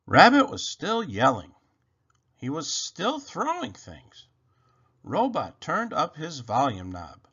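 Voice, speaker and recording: A man reading a children's story aloud with expressive, dramatic narration. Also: on the high side, takes it slowly, breathy edge, highs cut off. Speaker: storybook reader.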